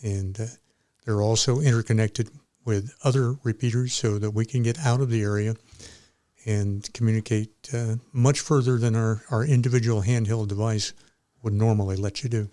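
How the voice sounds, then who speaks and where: A man speaking as an interview guest, explaining in a measured, slightly hesitant manner. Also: on the low side, fairly even intonation, mellow, no echo, studio quality. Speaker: amateur radio club member, guest.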